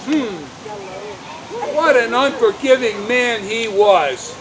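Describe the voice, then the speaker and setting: A performer voicing a stern, indignant master in a children's Bible puppet show, declaiming deliberately and emphatically, outdoors. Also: medium pitch, lightly acted, low-quality recording. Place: outdoors at an open-air show. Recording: outdoor recording with crowd and traffic.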